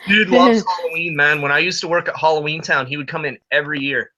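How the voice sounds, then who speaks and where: A man's voice: on the high side, fast, sharp tone, rough recording. A man co-hosting a podcast, chatting casually and telling anecdotes in a conversational way. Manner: conversational storyteller, quotes others. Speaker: podcast co-host.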